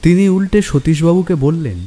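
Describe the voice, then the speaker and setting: A man narrating a scripted horror story in a measured, suspenseful way. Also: fairly high pitch, mellow. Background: music and rain.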